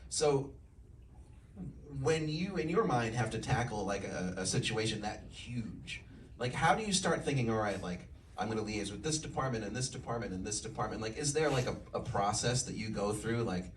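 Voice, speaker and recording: A man's voice: fairly low pitch, lively intonation, moderate pace, slight background noise. A man asking long, rambling questions at a live screening Q&A, full of fillers. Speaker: interviewer. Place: screening event hall. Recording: echoey room, distant mic.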